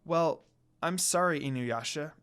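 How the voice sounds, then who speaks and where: A man voicing a gentle but outspoken young woman in a story reading, with a soft, earnest manner. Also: fairly high pitch, measured pace, clear, resonant tone, faint music behind. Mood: apologetic, firm.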